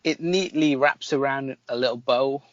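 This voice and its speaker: A man's voice: medium pitch, moderate pace, fairly bright tone, no room sound, highs cut off. A man reviewing films in casual chat as a podcast host, with an opinionated, critical manner.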